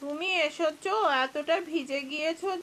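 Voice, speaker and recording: High, bright village wife voiced by an actress. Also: female voice, normal speed. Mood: caring. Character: caring, anxious, devout village farmer's wife. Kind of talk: cartoon folk-tale voice acting.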